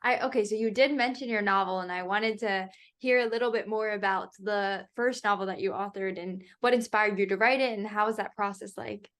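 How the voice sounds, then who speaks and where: A woman's voice: mid-range voice, fairly even intonation, fairly quick, few pauses, a bit bright. A woman conducting an oral history interview who asks tentative, hedging questions full of fillers.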